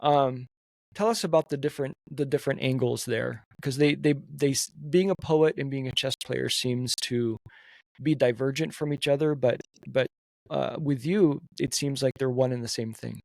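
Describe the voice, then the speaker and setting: A man's voice: medium pitch, fairly even intonation, normal speed, creaky, dry recording. A man hosting a podcast, asking questions and often restarting his phrases. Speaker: podcast host. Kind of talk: casual podcast interview.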